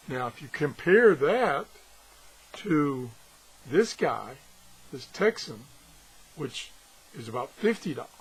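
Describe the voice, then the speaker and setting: A man's voice: medium pitch, a bit bright, slightly rough, poor audio. A man giving a casual, unscripted product demonstration as a reviewer, rambling and thinking aloud with broken-off asides. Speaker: radio reviewer.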